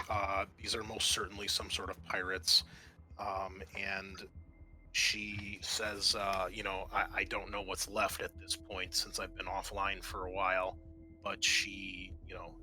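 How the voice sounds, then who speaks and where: A man's voice: sits low, level tone, unhurried, pushed and bright, low-quality recording. A man running a tabletop game as game master, narrating in a casual, conversational way.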